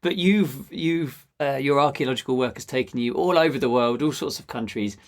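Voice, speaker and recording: A man's voice: mid-range voice, fairly quick. A man speaking conversationally as a podcast host, putting questions to a guest. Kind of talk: conversational interviewing.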